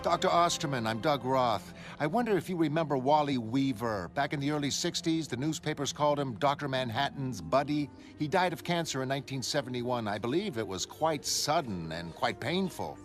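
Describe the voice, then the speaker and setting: A man's voice: mid-range voice, lively intonation, fairly quick, few pauses, natural voice. A man playing a pushy, accusing reporter in a motion comic, with a pointed, needling, insistent manner. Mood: probing. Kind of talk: motion comic character acting. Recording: music behind the voice.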